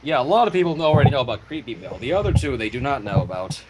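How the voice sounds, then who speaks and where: A man's voice: medium pitch, lively intonation, rapid-fire, noisy background. A man chatting casually on a livestream over an online voice call. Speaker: animator and livestream guest.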